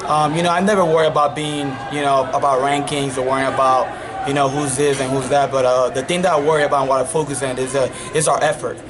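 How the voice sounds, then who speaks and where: Fast-talking Dominican-born coach, flat voice. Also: male voice, mid-range voice. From Dominican Republic. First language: Spanish. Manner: relaxed, many you-knows and restarts. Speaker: college wrestling coach. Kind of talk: post-match interview. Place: wrestling tournament arena. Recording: loud arena crowd behind. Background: crowd noise.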